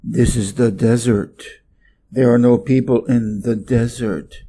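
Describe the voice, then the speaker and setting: An American man voicing a solemn desert snake in a storybook, with slow, drawn-out speech. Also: mid-range voice, steady tone, mellow, voice pushed down. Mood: calm, solemn. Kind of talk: storybook character voice.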